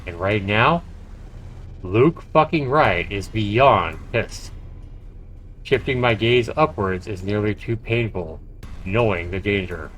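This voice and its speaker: A man's voice: on the low side, animated, slow, fairly bright tone, slight background noise. A man livestreaming a game, reading its narration aloud dramatically with casual, profane asides. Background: faint game music.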